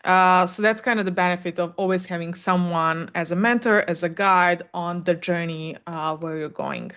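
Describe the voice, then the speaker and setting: A woman's voice: lowish voice, steady tone, normal speed, fairly bright tone, smooth. Woman coaching, thinking aloud. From Serbia. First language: Serbian. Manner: candid, thinks aloud with frequent fillers. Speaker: animation content coach. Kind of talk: solo Q&A advice talk.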